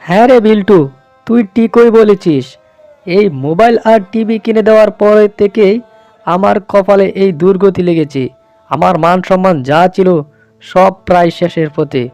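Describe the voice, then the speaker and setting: A man's voice: sits high, fairly even intonation, moderate pace, darkish tone, smooth, no echo, faint music behind. A man voicing a stern, fretful father fox in a cartoon, scolding and lamenting. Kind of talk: cartoon voice acting.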